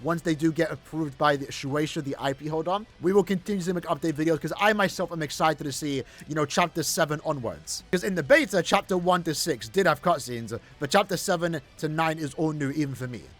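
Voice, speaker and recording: Fast, high-voiced man doing casual game commentary. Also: a bit bright, faint music behind. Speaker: gaming YouTuber reviewing a mobile game's cutscenes.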